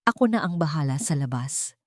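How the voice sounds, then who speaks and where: A woman's voice: deep voice, expressive, a little fast, darkish tone, dry recording, clean studio sound. A woman narrator voicing a loyal, protective elderly male butler giving urgent, secretive instructions in an audio drama.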